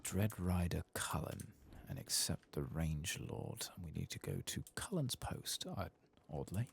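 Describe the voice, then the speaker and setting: A soft-spoken man giving low-key ASMR commentary as he plays a game, muttering to himself. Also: huge pitch swings, a little slow, gravelly, husky. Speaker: gaming video host.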